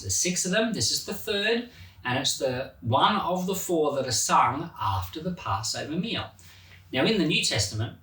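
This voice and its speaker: Male pastor, casual and animated Bible teacher, bright voice, recorded at a distance in a roomy space. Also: medium pitch. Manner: animated, chatty, laughs at his own points. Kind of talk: Bible reading and informal teaching. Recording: roomy echo, mic at a distance.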